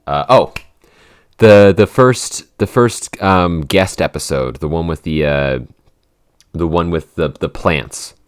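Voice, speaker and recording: A man in a casual unscripted podcast Q&A, talking in a halting, rambling way with lots of "like". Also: deep voice, clear, resonant tone. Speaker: podcast creator and co-host answering listener questions.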